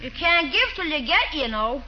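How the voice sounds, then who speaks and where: Brief: cheeky, whiny schoolboy nephew, 1940s radio comedy, very high animated voice, muffled old recording. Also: female voice, moderate pace, slight background noise. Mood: insistent. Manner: whiny, wheedling, slangy.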